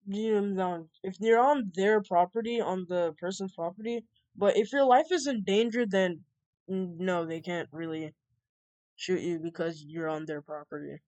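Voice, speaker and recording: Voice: medium pitch, measured pace, a little mellow, no room sound. A podcast host from the United States ranting loosely about the news, rambling and laughing between lines. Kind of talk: casual podcast chat.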